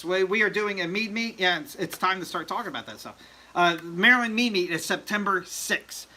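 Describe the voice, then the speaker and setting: A man's voice: sits high, sharp tone, low-quality recording. A man hosting a casual livestream chat, rambling with lots of fillers and joking with viewers. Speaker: livestream host.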